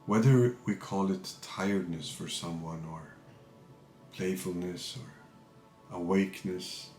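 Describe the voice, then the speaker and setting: A man with a low voice leads a guided meditation in a calm, measured manner. He speaks very slowly, leaving pauses between short phrases. The sound is noticeably roomy. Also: soft background music. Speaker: meditation teacher. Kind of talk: guided meditation, unscripted spiritual talk.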